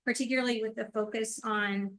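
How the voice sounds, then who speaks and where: A woman giving an earnest, measured public comment at a podium microphone in a council meeting hall, with some hesitant restarts. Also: on the high side, fairly even intonation, a bit bright.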